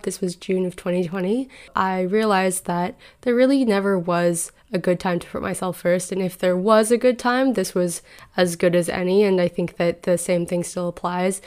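A woman's voice: fairly low pitch, darkish tone, clear, resonant tone. A woman in her twenties hosting a solo podcast, talking through life advice in an earnest, chatty and reflective way into a podcast microphone. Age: 20s. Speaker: podcast host.

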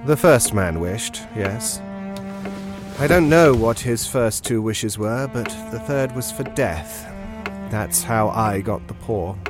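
An actor playing a grave, haunted sergeant major in a horror audio drama, speaking in a halting, ominous way. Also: male voice, fairly low pitch, lively intonation, music in the background.

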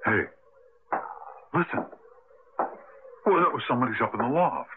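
An actor plays a practical young husband in a radio drama, polite with a touch of grumble. His delivery is animated and varied. The recording is narrow and noisy, like an old broadcast. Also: mid-range voice, moderate pace, lightly acted. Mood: curious, determined.

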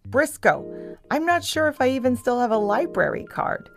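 A woman plays a gentle male dog adventurer in a children's audio story. He sounds curious and full of wonder, leaning on his words, with faint music beneath. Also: sits high, big pitch swings, moderate pace, vocal fry, voice pushed up, exaggerated voice.

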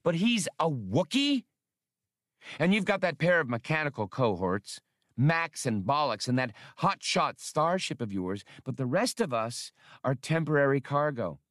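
A man's voice: on the high side, big pitch swings, measured pace, a bit bright, no room sound, studio quality, voice pushed up. A man voicing a proud, blunt young working-class woman spacefarer in an audiobook, speaking emphatically. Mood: exasperated accusing. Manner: scolding, emphatic.

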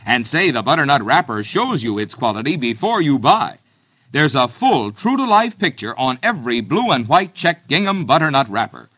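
Bright American radio ad man. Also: fairly high pitch, dry recording. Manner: emphatic, deliberate salesmanship. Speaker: commercial announcer. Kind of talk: 1940s-50s radio advertising read.

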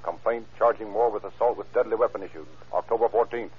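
A man's voice: fairly low pitch, quick pace, breathy edge. An actor as the authoritative male narrator of an old-time radio crime drama, formal and clipped in delivery. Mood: grave, urgent. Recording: muffled vintage radio recording with music.

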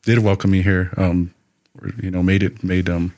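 A man who is a podcast guest, talking in a hesitant, halting way in casual chat in a studio. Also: low voice, little pitch movement, a little mellow. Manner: hesitant, halting, lots of restarts.

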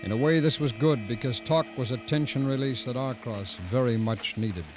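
A man's voice: mid-range voice, moderate pace, noisy background. A man giving scripted narration in the manner of a 1950s radio drama. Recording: muffled old radio recording.

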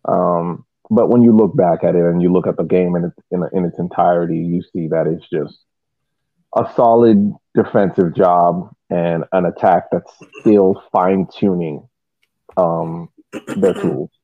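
A man giving casual, measured and hesitant match analysis on a podcast, through a tinny phone-like connection. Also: sits low, fairly even intonation, vocal fry, no echo. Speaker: sports podcast panelist. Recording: dry, tinny phone-like sound.

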